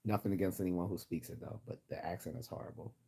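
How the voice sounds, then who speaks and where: A man's voice: fairly even intonation, fast talker. A man with a generic Midwestern American accent chatting casually and giving his opinions as host of a livestream. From United States. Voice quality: deep, husky, mellow.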